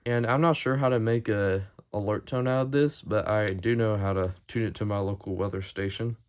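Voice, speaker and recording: A man's voice: on the low side, fairly even intonation, a little fast, a little hiss or hum. A man giving a casual, off-the-cuff show-and-tell narration as the host of a collection video. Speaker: host showing a weather radio collection.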